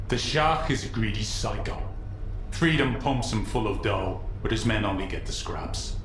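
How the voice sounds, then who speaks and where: An actor playing a cynical, blunt underworld trader in a video game, with a sardonic way of talking. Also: male voice, fairly low pitch, lively intonation, normal speed, a bit bright, slight background noise. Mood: scornful. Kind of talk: video game character dialogue. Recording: roomy echo, music behind.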